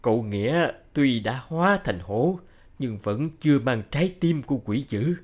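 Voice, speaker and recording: A man playing a grave, compassionate old village elder in an animated folk tale, speaking in a measured, solemn way. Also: medium pitch, lightly acted.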